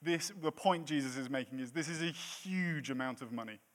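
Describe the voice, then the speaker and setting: A man's voice: mid-range voice, fairly quick. A man in his late thirties preaching a sermon in a church, with a self-deprecating, jokey manner between points. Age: 30s. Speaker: pastor.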